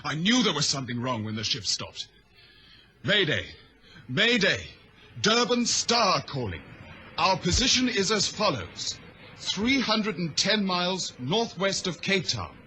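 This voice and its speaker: An actor playing a wry, anxious ship's radio operator in a radio drama, on a noisy vintage recording. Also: male voice, sits high, very animated, unhurried, bright, forceful tone, exaggerated voice. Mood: urgent calling.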